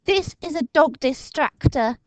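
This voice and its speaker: An excitable, frightened young boy from a storybook, as a woman reads him out, speaking in a high, shrill, urgent voice. He stammers when scared, and his pitch swoops up and down. The sound is close and dry. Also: a little slow, no echo.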